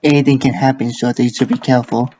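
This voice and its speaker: A man with an average-pitched, flat voice offers short, casual commentary. He sounds understated and unvaried. The amateur voice-over has clear noise throughout. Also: fast talker, dull audio. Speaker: viewer commenting on game cutscenes.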